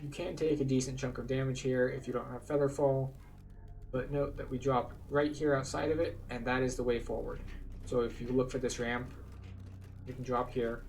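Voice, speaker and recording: A man's voice: mid-range voice, little pitch movement, normal speed, darkish tone, recorded from a distance, soft background music. A man giving a casual, explanatory commentary as he guides viewers through a video game. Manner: explanatory, conversational.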